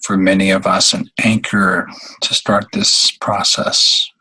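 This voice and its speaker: Slow, husky man guiding a meditation. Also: low-pitched, little pitch movement, sharp tone, poor audio. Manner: halting, unhurried, long pauses. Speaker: meditation guide. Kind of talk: guided meditation.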